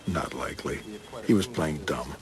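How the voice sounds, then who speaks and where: Deep, deadpan police detective. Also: male voice, moderate pace, creaky. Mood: wry narration. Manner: clipped, deadpan. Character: dry, skeptical veteran male police detective. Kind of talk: hard-boiled radio crime drama acting and first-person narration.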